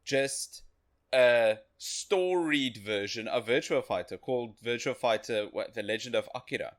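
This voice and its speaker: A man's voice: mid-range voice, expressive, measured pace. A man co-hosting a podcast, talking casually about retro video games. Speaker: podcast co-host.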